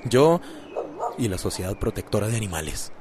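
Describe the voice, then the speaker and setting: A man's voice: on the low side, sing-song, normal speed. A man with a Costa Rican accent playing a cheeky, coaxing, good-humoured friend in a radio drama. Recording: noisy, dog sounds behind.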